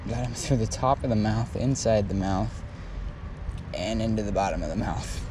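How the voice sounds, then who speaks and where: A man's voice: lowish voice, moderate pace. A man giving casual, exclamatory commentary to himself while fishing outdoors, recorded on a body-worn action camera. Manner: informal, exclamatory, talking to himself and the fish. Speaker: angler filming his own fishing vlog. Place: outdoors on a lake shore. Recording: outdoor action camera with clear ambient noise.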